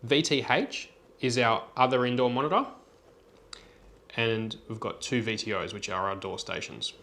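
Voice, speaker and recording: A man presenting an unscripted software tutorial in a plain, step-by-step instructional way. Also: medium pitch, moderate pace, gravelly.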